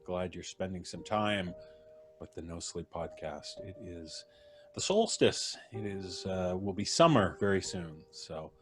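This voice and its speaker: A man with a deep voice hosts a podcast live stream. He chats casually and introduces guests with mock formality and joking banter. Faint music plays underneath. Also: lively intonation, unhurried. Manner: jokey, playfully formal introductions.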